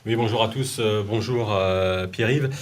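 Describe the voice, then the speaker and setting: A man, a radio interviewer joining a TV studio panel, asking brisk prompting questions. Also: lowish voice. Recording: husky, distant mic.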